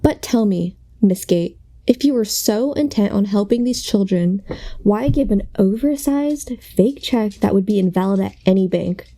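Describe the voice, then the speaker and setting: Accusatory, holier-than-thou woman reporter, acted by a woman, radio comedy, dark mellow voice. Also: medium pitch, normal speed, lightly acted. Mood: sanctimonious, accusing. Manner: sanctimonious, interrogating. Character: self-righteous, accusatory female TV news reporter. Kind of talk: parody news report in a radio play.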